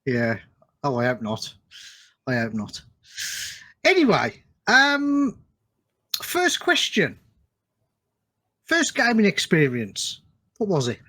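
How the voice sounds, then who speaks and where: A man's voice: on the high side, wildly swooping pitch, takes it slowly, pauses often, bright, forceful tone. A British man hosting a podcast, chatting and asking questions in an informal, matey way. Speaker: podcast host. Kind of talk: casual interview chat.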